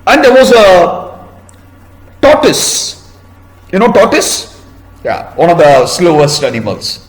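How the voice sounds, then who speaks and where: A man telling a story to children in a teacherly way, pausing to ask questions and explain words. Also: high voice, wildly swooping pitch, reverberant, low-quality recording. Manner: didactic, asks listeners questions and explains words.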